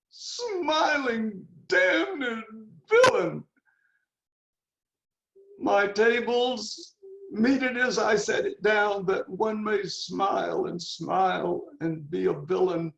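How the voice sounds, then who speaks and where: A man acts out a feverish young prince in a Shakespearean stage reading. His fairly high voice has a creaky, gravelly grain, and he declaims with brooding intensity, dwelling on words. It is heard in a very echoey room. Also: fairly bright tone, exaggerated voice. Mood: outraged. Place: indoors on a video call. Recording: roomy, echoing, muffled video call audio.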